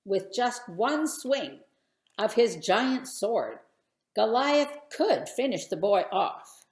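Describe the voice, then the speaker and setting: A woman telling a children's bedtime story in an expressive, theatrical and deliberate way. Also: a little high, roomy sound. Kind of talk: dramatic bedtime story narration.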